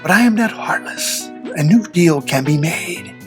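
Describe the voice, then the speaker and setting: A performer voicing a suave, ominous devil in a folktale audio drama, with formal, old-fashioned, measured diction. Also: medium pitch, animated, soft background music, natural voice. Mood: gloating, ominous.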